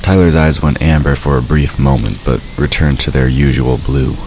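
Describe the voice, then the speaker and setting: A man with an extremely deep, low voice narrates a fantasy story. He reads scripted prose as the narrator of an audio drama, with faint ambient noise behind him. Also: steady tone, normal speed, darkish tone.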